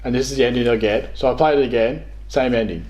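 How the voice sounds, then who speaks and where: Blunt, quick Australian man. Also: medium pitch, a little mellow, rough recording. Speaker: guest, new crew member. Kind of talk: casual chat about video games. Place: living room, indoors.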